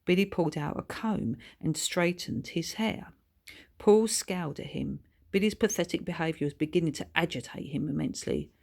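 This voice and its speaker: A woman narrates a children's story as the author reading from the page. Her pitch sits a little low, and her delivery is expressive, scripted storytelling. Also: moderate pace, gravelly.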